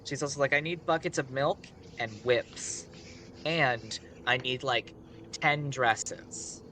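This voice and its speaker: Guy streamer telling a story off the cuff, bright voice, lots of asides, bit of noise behind him. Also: mid-range voice, slow. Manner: rambling, conversational asides. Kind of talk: casual improvised storytelling.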